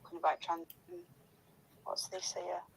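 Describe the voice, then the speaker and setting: Gravelly-voiced woman in gossipy chat. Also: fairly low pitch, very animated, a little slow, rough recording. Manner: rambling, sweary, complaining.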